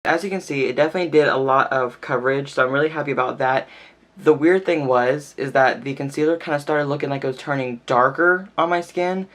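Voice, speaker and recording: Brief: male beauty vlogger, mid-pitched, chatty and casual, slightly stuffy nose. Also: a little fast, fairly bright tone, soft background music. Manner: chatty, fills speech with "like". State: stuffy, nasal from sneezing. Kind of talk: casual makeup tutorial talk.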